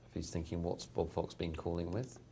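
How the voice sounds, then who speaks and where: A man's voice: deep voice, fairly even intonation, fairly quick, mellow, a little hiss or hum. A man hosting a live poker show, commenting on hands in a chatty, hesitant way with lots of fillers. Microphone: studio mic.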